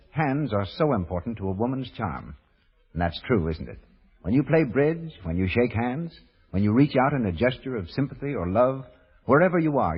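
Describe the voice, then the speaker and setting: Husky, animated male radio announcer. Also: medium pitch. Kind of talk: 1930s radio commercial announcing. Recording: muffled reverberant old radio broadcast.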